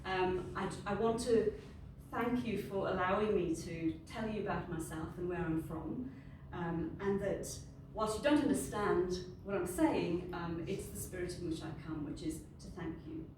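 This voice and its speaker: A woman's voice: on the low side, moderate pace, few pauses. Welsh woman speaking in an echoey hall. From Wales. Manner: conversational, unscripted, friendly. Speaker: industry panel speaker. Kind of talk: informal presentation to a group. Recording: very echoey room, distant mic, ambient noise.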